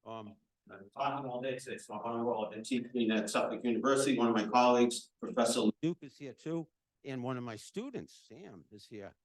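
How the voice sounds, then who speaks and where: Guy w a deep booming voice doing event welcomes, chatty, no mic, average pitch, echoey room. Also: brisk. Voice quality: deep voice that carries. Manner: loud, chatty, speaking without a microphone. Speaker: association chapter president opening an event. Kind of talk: welcoming remarks at a live event. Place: event room at a nonprofit center. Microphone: no microphone, recorded from the room.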